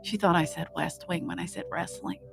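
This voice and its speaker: A woman's voice: on the low side, fairly even intonation, a little fast, vocal fry, soft background music. A middle-aged American woman chatting casually and acting out conversations as she tells stories, swearing freely. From Philadelphia, United States. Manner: laughs often, swears freely, acts out quoted dialogue. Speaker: podcast guest, performer. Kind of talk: casual chat, animated storytelling.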